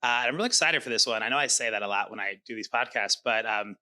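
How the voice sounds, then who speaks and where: A man's voice: fairly low pitch, very fast, sharp tone. A man hosting a podcast, interviewing in an upbeat, informal and affirming conversational style. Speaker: podcast host.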